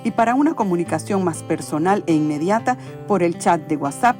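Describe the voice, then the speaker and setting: A woman reading a scripted closing announcement in an even, read-out manner. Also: lowish voice, a little fast. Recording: music bed under voice. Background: guitar music.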